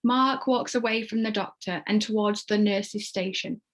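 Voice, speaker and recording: Mid-pitched woman narrating a screenplay. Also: moderate pace. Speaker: narrator reading stage directions. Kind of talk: scripted narration.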